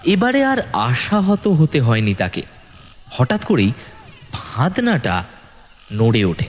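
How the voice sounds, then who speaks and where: A man narrating a scripted horror story in a dramatic, suspenseful way with deliberate phrasing. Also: medium pitch, wildly swooping pitch, a little hiss or hum.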